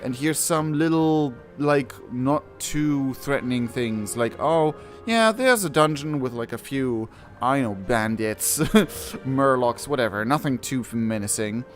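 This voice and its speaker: Casual male gamer reacting, mid-pitched. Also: slow pace, few pauses. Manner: acts out mock quotes, rambling. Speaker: gamer reacting on his channel. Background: faint game music.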